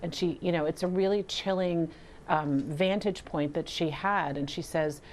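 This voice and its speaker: A woman's voice: fairly low pitch, normal speed, a little hiss or hum. A woman filmmaker giving explanatory answers as a guest in an interview.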